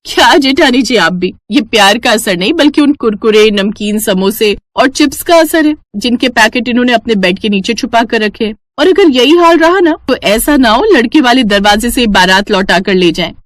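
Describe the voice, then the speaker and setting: A woman voicing a sharp-tongued, teasing young sister-in-law in a cartoon story, with a mocking, needling delivery. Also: fairly high pitch, expressive, moderate pace, hardly any pauses, no room sound, natural voice.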